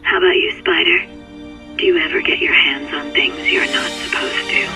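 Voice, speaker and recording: A sultry, teasing cat burglar. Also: female voice, deep voice, huge pitch swings, normal speed, music in the background. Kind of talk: video game cutscene.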